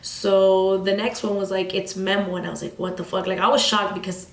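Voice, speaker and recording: A woman in her twenties, a little sick with a slightly raspy voice, telling a story in casual vlog chat full of "like" fillers and swearing. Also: mid-range voice, flat delivery, fairly quick. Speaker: vlogger. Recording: echoey room.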